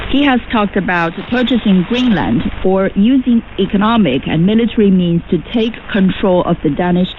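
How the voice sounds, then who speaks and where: Male news host, scripted read, dull broadcast sound with static behind. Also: high-pitched. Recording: dull noisy shortwave radio broadcast.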